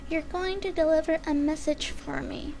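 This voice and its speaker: A woman's voice: high-pitched, fairly even intonation, normal speed, gravelly, warm tone, a little hiss or hum. A woman voicing a menacing young female pony hunter with a sarcastic, haughty manner in a story reading. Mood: coldly commanding.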